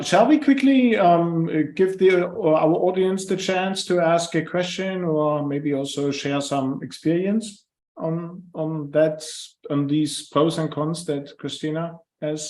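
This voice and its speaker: A man's voice: on the high side, takes it slowly, a little mellow. A man moderating an online webinar on a video call, hesitant with many fillers. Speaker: webinar host. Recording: echoey room on a video call.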